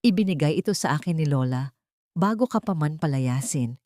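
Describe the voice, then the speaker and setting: A woman's voice: sits low, animated, a little fast, dark tone, no room sound. Elderly Filipino driver played by a female narrator, urgent and nervous, audiobook drama, close dry recording. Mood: urgent, confiding. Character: anxious, urgent old male family driver.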